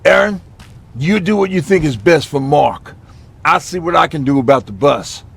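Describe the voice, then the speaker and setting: Level-headed squad leader in a game, gravelly, a bit high, noisy background. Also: male voice, expressive, moderate pace, exaggerated voice. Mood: composed. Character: steady, practical male leader of survivor band. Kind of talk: video game voice acting. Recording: vehicle and outdoor noise behind.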